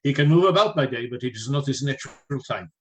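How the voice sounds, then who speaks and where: A man playing a learned, commanding vampire hunter in a radio play, with formal, deliberate oratory. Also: medium pitch, lively intonation, lightly acted. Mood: grave, commanding.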